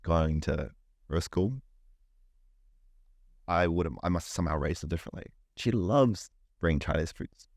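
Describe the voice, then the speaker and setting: A middle-aged man with a New Zealand accent talks in a very low voice, loose and rambling, tripping over repeated words and swearing freely. The sound is close and dry, with no room behind it. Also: lively intonation, normal speed, pauses often, dry recording. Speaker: podcast guest. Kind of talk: casual chat.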